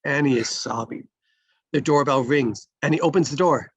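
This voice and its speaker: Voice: medium pitch, normal speed, breathy edge, no room sound. A narrator reading screenplay stage directions aloud in a scripted table-read style.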